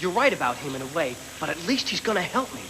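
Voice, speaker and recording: High-voiced, desperate young dreamer. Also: male voice, big pitch swings, fast. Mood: defiant. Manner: earnest, urgent. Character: desperate young male dreamer on the run, headstrong. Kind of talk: English anime dub acting. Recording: noisy VHS tape, long hollow reverb.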